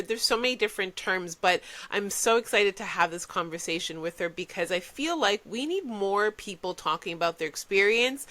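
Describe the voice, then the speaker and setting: A woman's voice: medium pitch, pushed and bright. A woman hosting a podcast, upbeat and affirming, gushing over her guest. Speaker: podcast host. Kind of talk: casual interview.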